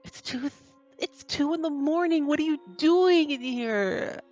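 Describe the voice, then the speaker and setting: A man's voice: sits high, normal speed, fairly bright tone, highs cut off, faint music behind. A man as a whiny, sleepy teenage boy. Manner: whining, groggy, complaining. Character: whiny, sleepy, put-upon fifteen-year-old boy.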